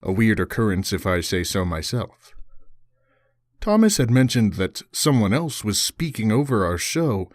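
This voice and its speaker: A man playing an earnest, formal small-town radio news host delivering a scripted broadcast monologue in a courteous, measured way. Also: lowish voice, dry recording, studio quality.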